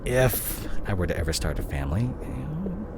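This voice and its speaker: A man acting in an audio roleplay as a guilt-ridden disgraced CEO boyfriend, speaking to his partner in a halting, emotional way. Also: fairly low pitch, huge pitch swings. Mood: guilty, pleading. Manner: halting, emotional, repeats and breaks off words. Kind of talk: dramatic audio roleplay monologue addressed to the listener. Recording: clear vehicle noise behind the voice. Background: car ambience.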